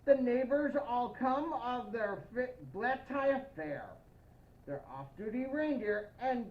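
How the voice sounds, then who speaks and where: Female storybook reader in a Santa persona, high voice, playful and expressive, slightly dull lo-fi sound. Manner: playful, expressive, addressing young listeners. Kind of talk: children's picture-book read-aloud with playful asides.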